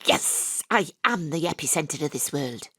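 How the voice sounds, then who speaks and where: A woman's voice: sits low, animated, measured pace, a bit bright, slightly rough, dry recording, clean studio sound, exaggerated voice. A woman playing a brash, sweary private detective who narrates her own comic audio drama in a breezy, irreverent way. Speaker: narrator and lead character. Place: home studio.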